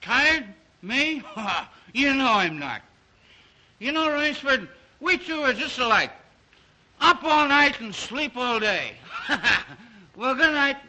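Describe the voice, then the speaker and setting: Drunk happy rich playboy, super high sharp voice, swoops around, old movie comic relief. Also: male voice, big pitch swings, takes it slowly, heavily acted, rough recording. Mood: tipsy, jovial. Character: tipsy, jovial, carefree rich playboy. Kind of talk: 1930s film comic-relief acting.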